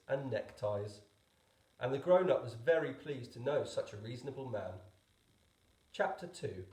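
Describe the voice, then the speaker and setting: A man narrating a storybook as a teacher would, expressively, with deliberate pauses. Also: medium pitch, darkish tone, roomy sound, rough recording. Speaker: teacher reading a book aloud.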